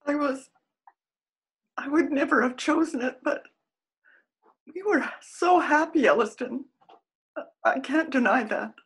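Actress as high-voiced, loving married woman. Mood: grieving. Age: older. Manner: halting, heartfelt. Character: grieving married woman, loving, torn, earnest. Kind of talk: period radio drama acting. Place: room at home, video call.

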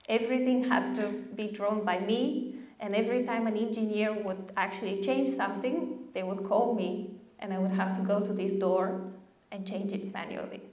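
A woman's voice: mid-range voice, a little mellow. Enthusiastic woman giving a conference talk. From Bulgaria. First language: Bulgarian. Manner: enthusiastic, chatty, asks the audience with "right?" and "okay?". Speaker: speaker. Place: large darkened lecture hall. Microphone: podium mic on a stand. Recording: roomy hall sound.

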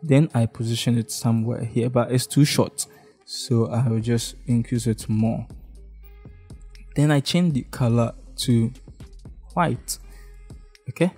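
A man's voice: medium pitch, measured pace, pauses often, breathy edge, faint music behind. Laid-back Ghanaian man walking through a design. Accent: Ghanaian English. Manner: relaxed, step-by-step, frequent "okay?" check-ins. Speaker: design tutorial host. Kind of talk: screen-recorded software tutorial. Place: indoor room, face in webcam corner.

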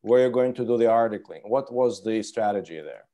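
A man in his thirties who is a non-native English speaker, hosting a conversational interview over a video call in a halting, rephrasing way. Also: on the low side, dark tone. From outside Canada. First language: not English. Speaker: interview host.

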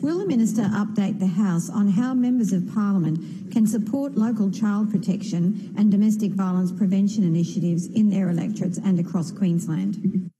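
A woman's voice: medium pitch, normal speed, mellow. A middle-aged woman with an Australian accent, a member of parliament reading out a formal question. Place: large parliamentary chamber, indoors. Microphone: desk gooseneck microphone. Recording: reverberant chamber.

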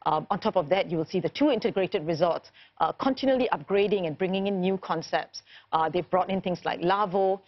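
A woman's voice: fairly low pitch, a little fast, muffled recording. A woman from Singapore, a tourism board director, speaking fluently as a guest in a TV news studio. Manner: fluent, upbeat promotional explanation.

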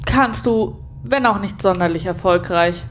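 A woman's voice: medium pitch, unhurried, slight background noise, close to an everyday voice. A woman playing a blunt, sarcastic, easily exasperated space station captain in a sci-fi audio drama. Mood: dry, dismissive. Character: sardonic, irritable female space station captain.

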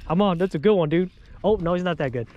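A young man hosting a fishing vlog from a boat on a lake, excitable and chatty, often shouting when a fish bites. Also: sits high, fast talker, dull audio, a little hiss or hum. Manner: excitable, shouts on catches, says dude and bro a lot. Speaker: fishing channel host. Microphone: action camera worn on head.

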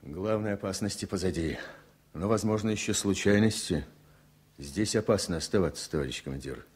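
An actor playing a loyal, concerned flight engineer, respectful and urging, with a husky voice in a Soviet radio play. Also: male voice, deep voice, moderate pace, darkish tone.

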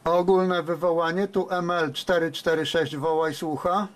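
A man's voice: a little high, flat delivery, moderate pace, a little hiss or hum. A man working as a radio operator, giving call-outs and casual commentary a desk indoors. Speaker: amateur radio operator, host.

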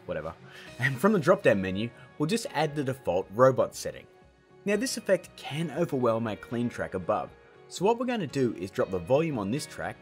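A man hosting a tutorial with scripted narration and chatty, informal asides. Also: mid-range voice. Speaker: tutorial host. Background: background music.

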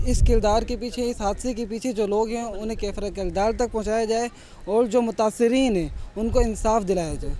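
A man's voice: high voice, mellow. A male lawyer making a solemn, indignant statement outdoors by a court. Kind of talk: street interview. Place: outdoors by a courthouse car park.

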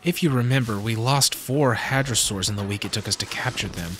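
A man voicing a serious, cautious geneticist who explains things in a measured way. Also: mid-range voice, a bit bright, a little hiss or hum, close to an everyday voice. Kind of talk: fan audio drama acting.

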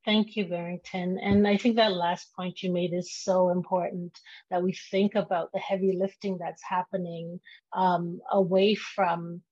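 A woman moderating a panel over a video call, speaking in a measured, affirming way. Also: fairly low pitch, level tone, a little mellow, muffled recording.

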